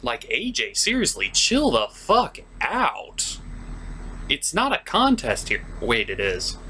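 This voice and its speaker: Male review host, highly animated and sarcastic, theatrical swings, some background noise. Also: slow. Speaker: host of a cartoon review show. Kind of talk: comedic review commentary.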